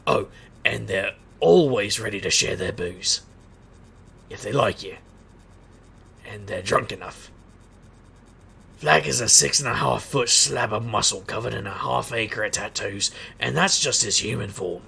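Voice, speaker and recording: Male actor, wisecracking magician private eye, heavy vocal fry, sharp edge, sardonic audio drama narration. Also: on the low side, measured pace, pauses often, slight background noise, heavily acted. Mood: wry. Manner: sardonic, wry. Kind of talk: noir-style first-person narration and dialogue in audio drama.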